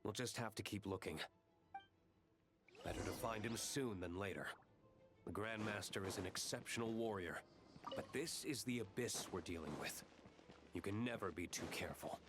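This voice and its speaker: An actor playing a composed, wary noble warrior in a video game, speaking in a measured, serious and pragmatic way. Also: male voice, lowish voice, music in the background, lightly acted. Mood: grave, composed. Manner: measured, grave. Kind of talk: video game character acting.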